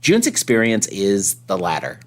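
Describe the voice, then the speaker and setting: A man's voice: fairly low pitch, huge pitch swings, moderate pace. A middle-aged man, hosting a podcast with scripted introductions and conversational interviewing. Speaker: podcast host.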